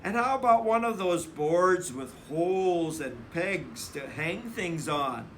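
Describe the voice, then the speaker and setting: A man's voice: a little high, animated, slow pace, fairly bright tone, slight background noise. A man voicing a young girl bear cub in a storybook, plaintive and emphatic about her things. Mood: eager.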